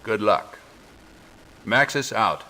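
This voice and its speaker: Formal, stern resistance commander. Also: male voice, on the low side, expressive, noisy background. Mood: formal, commanding. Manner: formal, deliberate, authoritative. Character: stern, measured male resistance commander briefing a recruit. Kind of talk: scripted game mission briefing.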